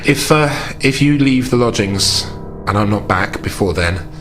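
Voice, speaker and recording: A man playing a courteous, concerned gentleman investigator in a tabletop horror game, speaking in a formal, measured, hesitant way. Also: fairly low pitch, lively intonation, music in the background. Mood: protective, grave.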